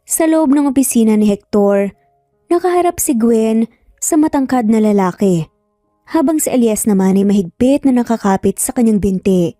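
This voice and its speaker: A woman's voice: a little high, expressive, normal speed, mellow, no echo, studio quality, soft background music. A woman with a Filipino accent reading a scripted story as a dramatic narrator.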